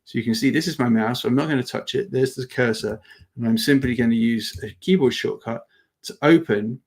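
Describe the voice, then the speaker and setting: Guy doing a casual webinar demo, gravelly fry in his voice, kinda halting, thinks out loud. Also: medium pitch. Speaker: webinar presenter demonstrating software. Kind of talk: informal live product demo with Q&A.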